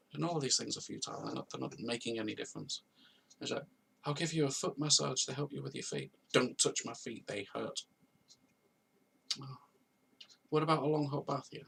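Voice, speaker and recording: A man's voice: lowish voice, vocal fry, fairly bright tone, far from the mic, a little hiss or hum, low-quality recording. A man in his thirties telling his own story to camera in an earnest, confessional way, repeating phrases for emphasis. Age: 30s. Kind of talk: personal testimony to camera. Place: at home, on a video call setup.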